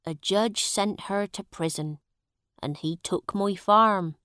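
A woman voicing a poor male farmer who slowly tells the sad story of his lost family. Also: medium pitch, a bit bright, clean tone, no room sound, clean studio sound. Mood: sorrowful. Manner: slow, heavy storytelling.

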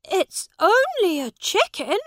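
A woman's voice: very high-pitched, huge pitch swings, takes it slowly, a bit bright, no echo, studio quality, pitched above the performer's own voice, heavily acted. A woman voicing a frightened young boy who pleads for help in a storybook reading. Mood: bewildered. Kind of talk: storybook character dialogue.

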